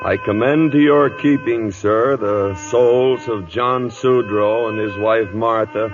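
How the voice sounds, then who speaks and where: A man's voice: medium pitch, natural voice. Man acting a weary, stern old cavalry captain. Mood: solemn. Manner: terse, commanding, deliberate. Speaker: lead actor and narrator. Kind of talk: 1940s-50s radio drama acting. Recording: dull old radio recording, music behind.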